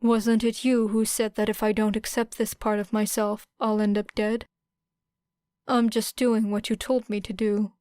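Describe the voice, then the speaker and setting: Stoic teenage boy, voiced by a woman, aloof, detached, flat delivery, clear voice, audiobook character. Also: mid-range voice, a little slow, no echo, close to an everyday voice. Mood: cold, stubborn.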